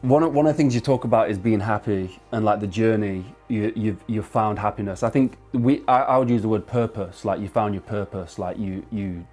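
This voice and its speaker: A man's voice: on the low side, brisk, hardly any pauses, darkish tone, soft background music. A man hosting an interview, asking questions casually with fillers and restarts. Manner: casual, hesitant, many fillers. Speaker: podcast host.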